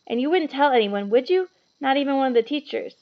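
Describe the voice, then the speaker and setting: Lady doing a curious worried schoolboy, highish pitch, storybook reading, slightly muffled. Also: animated, a little fast. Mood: anxious. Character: inquisitive, anxious young schoolboy from a children's fantasy.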